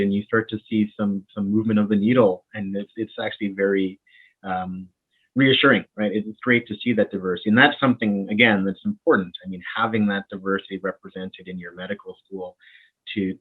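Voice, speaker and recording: Low-voiced doctor on a tinny line. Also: male voice, fairly quick, breathy edge. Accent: Canadian, Winnipeg. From Bahamas. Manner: animated, friendly, often repeats words when starting a thought. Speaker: doctor, interview guest. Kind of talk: casual interview conversation.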